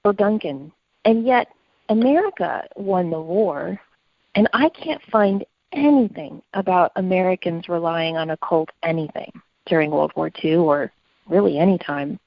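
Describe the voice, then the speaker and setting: A woman's voice: on the low side, animated, measured pace, vocal fry, no echo, muffled recording. A woman co-hosting a podcast, talking in an earnest, reflective and opinionated conversational way. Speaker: podcast co-host.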